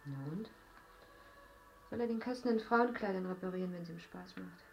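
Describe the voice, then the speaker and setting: Klagende Frau, eher tiefe Stimme. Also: female voice, fairly low pitch, moderate pace, gravelly, lo-fi sound, a little hiss or hum. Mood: wistful, reproachful. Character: plaintive woman at home, grumbling at her partner. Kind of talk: naturalistic radio drama dialogue.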